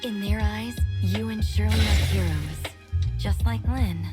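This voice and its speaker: A woman playing a gentle, sincere, kindly character in a video game, speaking earnestly and expressively. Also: mid-range voice, measured pace, lightly acted. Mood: warm admiration. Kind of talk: scripted video game voice acting. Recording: loud music under the voice.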